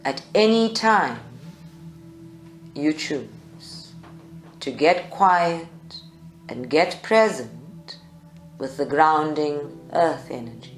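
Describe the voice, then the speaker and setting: A woman leads a guided meditation in short, measured phrases with long pauses. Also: low voice, lively intonation. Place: live group session indoors at a creativity centre. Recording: echoey room, music behind. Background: meditation music.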